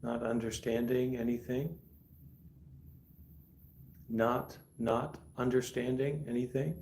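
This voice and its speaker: Guy leading a guided meditation, soft dark tone, really unhurried, short lines w long pauses, bit of background noise. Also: mid-range voice. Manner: calm, deliberate, instructing in short phrases with long pauses. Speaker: meditation teacher.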